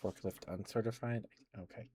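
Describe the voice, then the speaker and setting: A man hosting an ASMR livestream, speaking softly and intimately and slipping into whispers. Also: on the low side, fairly even intonation, normal speed, background noise.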